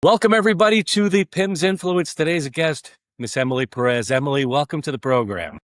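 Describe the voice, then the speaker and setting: A man hosting an interview podcast, talking in a relaxed, chatty way with lots of fillers. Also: a little high, fairly quick, no room sound, soft background music. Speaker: podcast host. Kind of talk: conversational interview.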